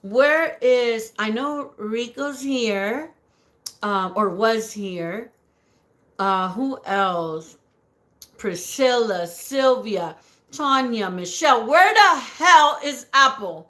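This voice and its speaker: A woman hosting a casual live stream, her voice raspy and pitched a little high. She talks slowly, greets viewers by name, giggles often and slips Spanish words into her chat. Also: lively intonation, fairly bright tone. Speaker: livestream host reading viewer comments.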